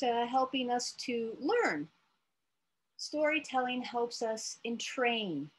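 An American woman in her late forties giving an informal, halting lesson with storytelling, thinking aloud as she explains. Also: on the high side, poor audio. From United States, Iowa. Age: late 40s. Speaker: therapist presenting an educational video letter.

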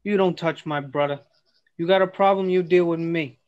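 A man's voice: on the high side, fairly even intonation, normal speed, close to an everyday voice. A man reading the part of a short-tempered, protective brother in a film script. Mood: defiant. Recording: dull lo-fi video call audio.

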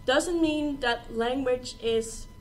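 A woman's voice: high voice, slow pace, a little hiss or hum. A young adult woman, a student giving a prepared presentation. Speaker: student speaker. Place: small stage in a presentation room.

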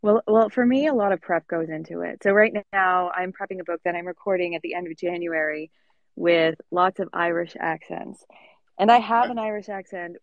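A woman's voice: lowish voice, normal speed, no room sound, lo-fi sound. A woman, an audiobook narrator, talking hesitantly and modestly on a video call. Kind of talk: casual chat.

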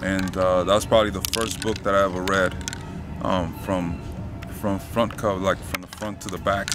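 A man in his thirties from Honduras, raised in Miami, talking casually and reflectively about himself in a halting way. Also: deep voice, level tone. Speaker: mural artist. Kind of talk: casual interview to camera. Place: outdoors by a painted court wall. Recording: music bed behind the voice.

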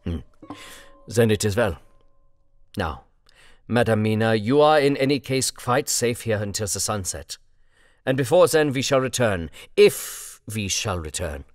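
A man's voice: fairly low pitch, sing-song, unhurried, no room sound, clean studio sound. A man reading an audiobook, voicing an elderly, kindly professor with solemn, old-world formality. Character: elderly learned male professor, grave and kindly.

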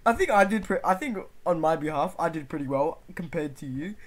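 A man's voice: a little high, lively intonation, fairly quick. A young man hosting prank calls in casual, informal gaming-video chat. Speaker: prank call host. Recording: faint background noise on voice chat.

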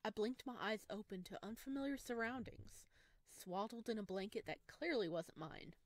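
A woman streaming a visual novel, reading narration aloud and reacting in an expressive, gushing way. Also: mid-range voice, moderate pace, no echo. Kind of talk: game playthrough with read-aloud narration and excited reactions.

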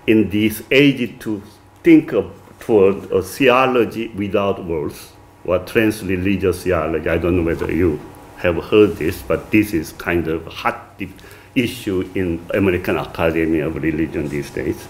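A middle-aged man with a strong Korean accent giving an academic lecture at a podium, reading from a manuscript with many halting "uh" fillers. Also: deep voice, slight background noise. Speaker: visiting lecturer. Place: wood-paneled reception room, indoors.

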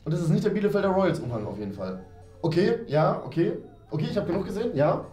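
A man's voice: high voice, big pitch swings, quick pace, dark tone. A man in his twenties hosting a casual game show with friends, chatting playfully and teasing them. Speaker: host of a YouTube challenge show. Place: small indoor studio room. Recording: echoey room with music behind. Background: music.

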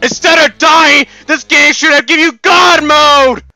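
Piercing, high-pitched, bombastic game announcer, yelled by a man. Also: a little slow, dry recording, soft background music, exaggerated voice. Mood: outraged. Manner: bombastic, over-excited. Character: hyped-up, taunting, ranting male shooter-game announcer. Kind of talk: shouted game voice lines.

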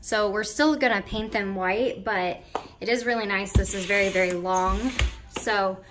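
A woman's voice: medium pitch, measured pace, noisy background. An American woman in her twenties giving a chatty, informal walking tour for a vlog, thinking aloud as she talks in empty indoor rooms. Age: 20s. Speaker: vlogger giving a house tour. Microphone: handheld camera or phone at arm's length.

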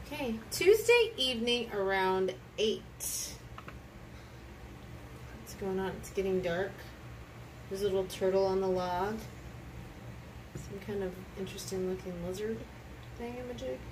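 A woman's voice: mid-range voice, lively intonation, a little slow, pauses often, a little mellow. A woman chatting casually through a picture book read-aloud with a child, exclaiming and prompting with questions. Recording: distant mic with clear background noise.

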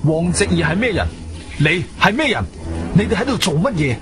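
A man with a Cantonese accent acting a shrewd, firm investigator hero who narrates his own adventure in an expressive audio drama style. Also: high voice, normal speed. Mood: firm, demanding. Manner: expressive, emphatic storytelling. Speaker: narrator and lead actor. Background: background music.

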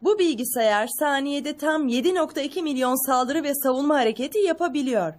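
A woman playing a confident, techy young mechanic in a cartoon dub, brisk and self-assured. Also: high-pitched, a little hiss or hum, natural voice. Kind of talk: Turkish cartoon dub acting.

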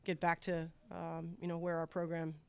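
A middle-aged woman coach answering press questions after a game at a table mic, steady and measured, with many filler words. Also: lowish voice, vocal fry, smooth. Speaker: head soccer coach. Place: press conference room at a table. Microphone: table microphone.

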